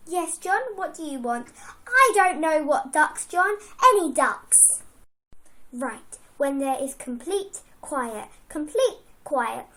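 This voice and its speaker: A woman's voice: very high voice, pushed and bright, full character voice. A young girl reciting a poem as a strict, exasperated schoolteacher who scolds her class with sarcastic rhetorical questions. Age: child. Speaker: poem reader.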